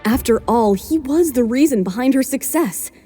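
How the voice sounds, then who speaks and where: A woman's voice: high voice, expressive, normal speed, mellow, soft background music. A woman narrating a scripted romance drama as its storyteller.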